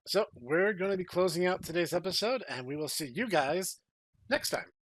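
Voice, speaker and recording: A man's voice: sits high, lively intonation, normal speed, fairly bright tone, dry recording. A man hosting a podcast in casual chat, with a jokey, playfully theatrical way of phrasing things. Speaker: podcast host.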